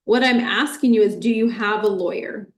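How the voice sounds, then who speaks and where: A woman judge speaks from the bench in a measured, formal way. She stays firm and even while delivering her rulings. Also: mid-range voice. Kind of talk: courtroom ruling. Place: courtroom.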